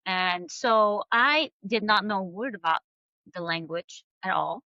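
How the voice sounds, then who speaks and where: A woman's voice: medium pitch, lively intonation, measured pace, sharp tone, no room sound, dull audio. A woman in her forties, a native Farsi speaker, earnestly recounting her past as a podcast guest, with many fillers and restarts. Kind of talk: personal storytelling in a podcast interview.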